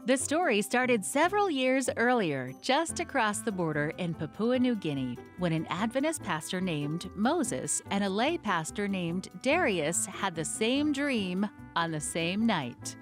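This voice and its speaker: Woman narrator, scripted storytelling, animated delivery, faint music underneath. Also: medium pitch, a little slow, few pauses.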